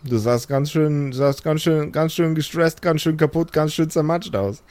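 A man voicing a battle-scarred, chain-smoking woman warrior in an improvised audio drama, dry-humored and speaking in halting pauses. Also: on the high side, darkish tone, dry recording, pitched above the performer's own voice, natural voice. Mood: dry, wry.

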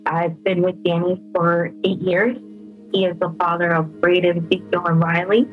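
Twenties woman, interviewee sharing her own story, emotional and halting, flat pitch, dull recording with soft music bed. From El Salvador, raised in the United States. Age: 20s. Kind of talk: personal testimony.

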